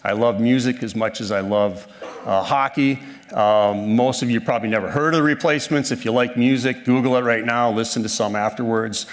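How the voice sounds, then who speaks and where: Fifty-something guy giving a laid-back conference talk, average pitch, lots of ums, big echoey hall. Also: fairly quick. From northern Minnesota, USA. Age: 50s. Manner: self-deprecating, folksy, frequent ums and restarts. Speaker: conference speaker. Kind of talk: conversational presentation. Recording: reverberant hall sound.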